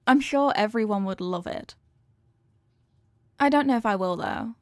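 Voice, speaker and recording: A woman's voice: medium pitch, animated, measured pace, dry recording, clean studio sound. A woman playing a cute, obsessive young girlfriend in a comedic audio roleplay, chatting to the listener in a sweet, earnest, rambling and possessive way. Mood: sweetly jealous.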